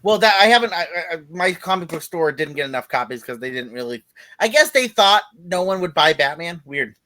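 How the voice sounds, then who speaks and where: Man on a podcast, casual rambling talk, slightly high voice, dry sound. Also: a little fast, no room sound. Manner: swears casually, relaxed rambling. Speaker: podcast co-host. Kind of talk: casual chat.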